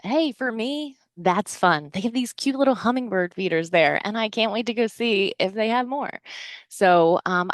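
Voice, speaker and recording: A woman's voice: a little high, dry recording, studio quality. A middle-aged American woman chatting casually and encouragingly, like a podcast host giving a motivational talk. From New Jersey shore, United States. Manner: chatty, encouraging, conversational asides with frequent "right?".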